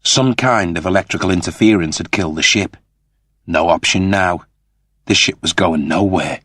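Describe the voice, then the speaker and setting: A man reading scripted science fiction narration as an audiobook narrator, in a measured, dramatic manner. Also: low-pitched, a bit bright, no room sound, clean studio sound.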